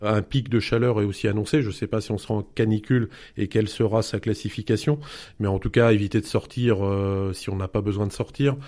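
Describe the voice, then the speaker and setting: A fire service official gives heat-wave safety advice in a radio interview. His voice is low and mellow, and he talks haltingly, filling gaps with hesitations. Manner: hesitant, many fillers.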